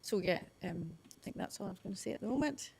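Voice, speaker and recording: A woman's voice: mid-range voice, lively intonation, fast, vocal fry, darkish tone, slight background noise. A woman speaking as a panelist at a conference, hesitant with many fillers. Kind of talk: panel discussion answer.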